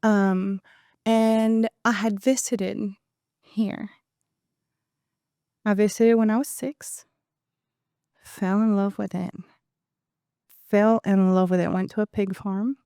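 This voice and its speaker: A Venezuelan woman in her forties tells her story with lively, animated energy. Her voice is smooth and resonant, at a middle pitch. The sound is close and dry, with no room around it. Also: slow pace, long pauses, dry recording. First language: Spanish. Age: 40s. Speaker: podcast guest. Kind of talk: conversational interview.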